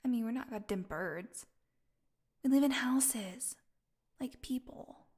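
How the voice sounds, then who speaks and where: Woman acting a slow, sarcastic captive vampire woman. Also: a little high, a little mellow, breathy edge, full character voice. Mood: bored, mocking. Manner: sarcastic, drawling, taunting. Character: snarky, defiant captive female vampire, starved and bored. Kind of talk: audio roleplay monologue.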